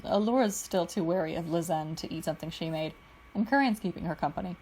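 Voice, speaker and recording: A woman reading aloud voices a calm, steady young man who leads. His words come gentle and measured. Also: on the low side, a little hiss or hum, lightly acted, low-quality recording.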